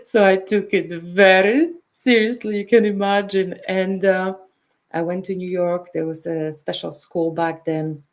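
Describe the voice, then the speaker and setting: A middle-aged woman from Poland with a Polish accent, a counselor hosting a show and leading a guided meditation before telling her own story, speaking softly and sometimes dropping to a whisper. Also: mid-range voice, steady tone. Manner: soft, unhurried, drops to a whisper at times. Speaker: intuitive counselor and show host.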